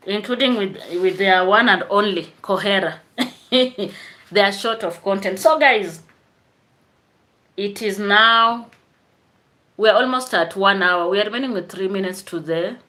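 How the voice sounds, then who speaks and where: Lady on a youtube live, chatty and lively, bright sharp voice. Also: mid-range voice. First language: Swahili. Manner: animated, greets viewers by name, frequent laughs. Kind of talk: casual live stream chat.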